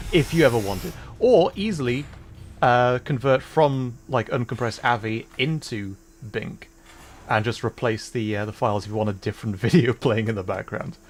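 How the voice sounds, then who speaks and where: Rambling low-voiced man streaming a game. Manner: rambling explanations with fillers and laughs. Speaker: livestreamer playing a game. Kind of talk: casual gameplay commentary.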